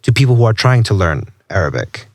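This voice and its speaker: A man hosting a podcast interview, asking measured questions and recapping his guest's story. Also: on the low side, sing-song, no room sound. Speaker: podcast host.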